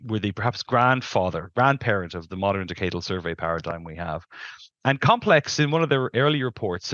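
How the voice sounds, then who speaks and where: A man's voice: fairly low pitch, a bit bright, no room sound, highs cut off. A middle-aged man explaining things as an expert interview guest, emphatic and discursive, on a headset in a video call. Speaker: planetary scientist, interview guest.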